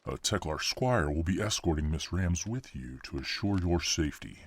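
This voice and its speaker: A man playing a grave, courteous senior official in a fantasy audio drama, with a husky voice and a formal, guarded manner. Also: low-pitched, lively intonation, normal speed, heavily acted.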